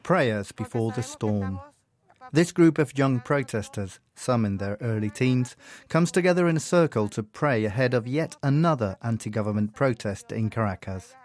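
Man, foreign correspondent, scripted radio report, calm measured narration, mid-range voice. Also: medium pitch.

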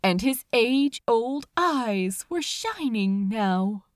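A woman narrates a dramatic story with solemn feeling. Her voice is fairly high and very expressive in pitch, and she takes her time over each phrase. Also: very slow, dry recording, clean studio sound. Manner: solemn, emotive. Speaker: audiobook narrator. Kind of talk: dramatic scripted narration of fan fiction.

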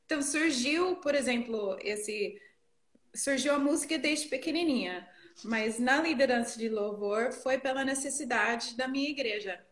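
A middle-aged woman from the northeastern United States, a native English speaker, telling her story reflectively and haltingly as an interview guest. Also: high voice, a bit bright, echoey room. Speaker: interview guest, worship leader. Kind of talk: conversational personal storytelling in an interview.

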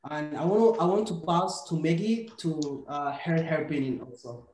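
A man's voice: fairly high pitch, normal speed, a little mellow. A young man from Somalia, a student volunteer, talking hesitantly with many fillers and restarts. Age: young adult. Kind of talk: casual podcast discussion. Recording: roomy echoey recording.

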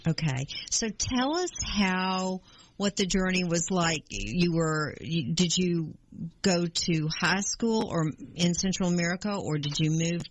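A woman hosting a gardening radio show, asking her guest questions in a friendly, halting way with many fillers. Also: fairly low pitch, lively intonation, no echo, poor audio. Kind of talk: radio interview.

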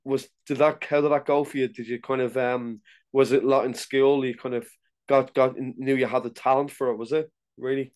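Halting man hosting a podcast interview. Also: mid-range voice, flat delivery, darkish tone, smooth. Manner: halting, rambling questions with frequent restarts. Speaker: podcast host. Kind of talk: casual interview chat.

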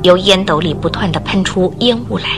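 A woman reading dramatic scripted narration for a radio drama, expressive and suspenseful. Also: lowish voice, fairly quick. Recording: music bed under the narration.

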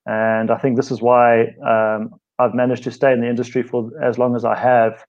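A man with a South African accent talks in an easy, conversational way, restarting phrases now and then. His voice sits at an ordinary middle pitch. The audio comes through slightly dull and lo-fi. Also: monotone, normal speed, darkish tone. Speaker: co-host, fitness coach. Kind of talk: casual live-stream chat.

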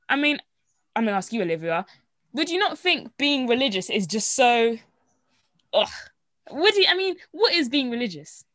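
A woman's voice: mid-range voice, a bit bright, no echo, clean studio sound. A woman hosting a casual faith discussion, reading Bible verses aloud and rambling with frequent fillers. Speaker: host.

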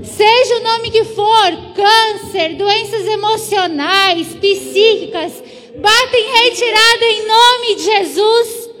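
A woman delivers a fervent charismatic prayer full of commanding declarations. Her pitch is extremely high. A long hall echo surrounds her voice. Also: slow, a bit bright, faint music behind. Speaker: church deaconess praying. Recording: long reverberant hall sound.

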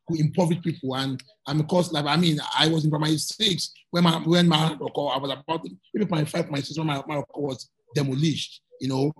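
Husky-voiced Nigerian man, earnest. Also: on the high side, low-quality recording. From Lagos, Nigeria. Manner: earnest, repeats words while searching for them. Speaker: guest journalist. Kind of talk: conversational interview answers.

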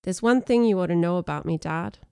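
Woman playing a defensive, sharp-tongued schoolgirl. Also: lowish voice, brisk, vocal fry, clear, resonant tone, dry recording, studio quality. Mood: cutting, mean.

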